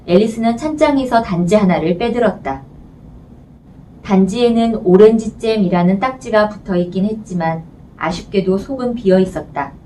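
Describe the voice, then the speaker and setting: A woman's voice: mid-range voice, moderate pace, dark tone. Female audiobook narrator for children's fiction, mid-range voice, faint noise behind. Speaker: audiobook reader. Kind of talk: scripted storybook narration. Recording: distant mic, faint background noise.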